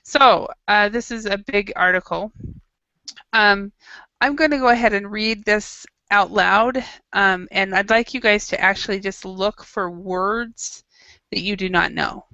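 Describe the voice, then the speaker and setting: A woman's voice: medium pitch, a little slow, pushed and bright, no room sound, dull audio. A woman teaching an English class, running a quiz with students and reading an article aloud in a chatty, encouraging way. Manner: chatty, encouraging, laughs easily.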